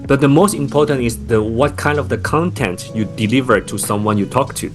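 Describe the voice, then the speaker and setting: A man's voice: mid-range voice, brisk, music in the background. A man from Taiwan with a Taiwanese accent, a startup founder speaking as a podcast guest, thoughtful with many fillers and restarts. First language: Chinese. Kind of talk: podcast interview.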